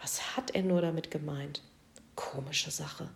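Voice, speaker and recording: A woman's voice: sits low, steady tone, a little slow, gravelly, voice pushed down. A woman voicing a worried, confused friend of Jesus who muses hesitantly and drops into whispers. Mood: bewildered. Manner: hesitant, musing, dropping to a whisper.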